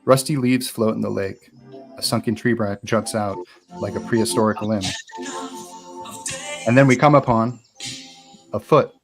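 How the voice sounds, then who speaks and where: Guy narrating a horror script, stage directions, lowish voice, measured reading. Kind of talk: scripted narration of a screenplay, live table read.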